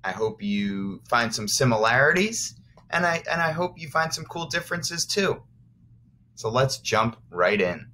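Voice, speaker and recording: A man's voice: medium pitch, big pitch swings, measured pace, bright, forceful tone, a little hiss or hum. A man teaching first graders, reading aloud expressively and encouragingly, with simple explanatory asides for young children.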